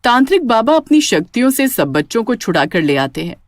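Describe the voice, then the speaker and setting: A woman's voice: on the high side, sing-song, fairly quick, dry recording, studio quality. A woman storyteller giving scripted, dramatic narration of a tale.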